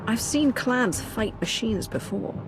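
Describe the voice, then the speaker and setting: A woman's voice: a little high, expressive, measured pace, background noise. A woman voicing a cool, commanding sorceress in a sci-fi video game, imperious and confident. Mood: wry.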